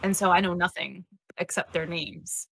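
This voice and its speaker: Bright, forceful low-pitched woman. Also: steady tone, normal speed, a little hiss or hum, rough recording. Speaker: panelist. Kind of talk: casual panel discussion.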